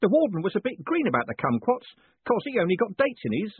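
A chummy, rambling prison warden, put on by a male narrator, pitched well above the narrator's own voice and bouncing all over the place. He sounds cheerfully deferential, with a husky edge. The sound is thin and phone-like. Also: huge pitch swings, quick pace, dry recording, full character voice. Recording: tinny, phone-like dry sound.

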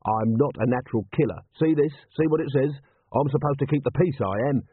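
A man's voice: medium pitch, brisk, breathy edge, pitched above the performer's own voice, close to an everyday voice. A man voicing a weary, indignant watch commander, blunt and commanding, in an audiobook. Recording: thin, band-limited, phone-like sound.

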